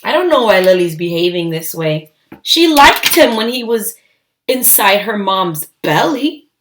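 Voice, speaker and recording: A woman reading a children's story aloud, expressive and emphatic, with deliberate phrasing. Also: mid-range voice.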